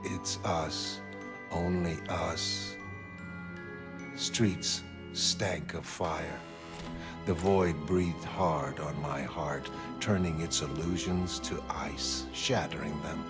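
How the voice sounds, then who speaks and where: A man's voice: extremely low pitch, fairly even intonation, over loud music, full character voice. A man playing a cold, nihilistic masked vigilante in a motion comic, speaking in terse, bleak monologue. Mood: bleak, menacing. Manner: slow, terse, clipped sentences.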